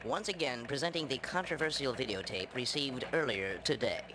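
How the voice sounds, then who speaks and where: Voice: medium pitch, a little fast, noisy background, close to an everyday voice. Someone voicing a chicken news anchor. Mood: earnest. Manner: newscaster delivery. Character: earnest young male chicken news anchor.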